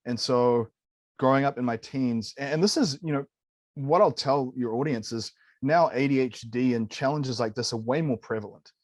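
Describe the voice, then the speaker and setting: Kiwi guy in his thirties, chatty and enthusiastic, mid pitch, dry recording. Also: normal speed, no echo. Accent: New Zealand. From New Zealand. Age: 30s. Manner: candid, enthusiastic, peppers speech with "man" and "dude". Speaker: guest nutritionist. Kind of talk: casual interview storytelling.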